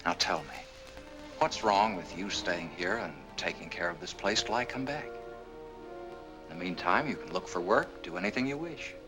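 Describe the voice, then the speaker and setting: An actor playing an earnest, lovestruck young American man, gentle and protective, in a 1940s Hollywood film. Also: sits low, moderate pace, close to an everyday voice, low-quality recording. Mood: tender, concerned. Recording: old film soundtrack, music behind.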